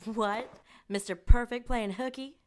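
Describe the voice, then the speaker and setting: A woman's voice: a little high, steady tone, measured pace, a little hiss or hum, close to an everyday voice. An actress playing a cheeky, mocking teenage girl in a radio drama.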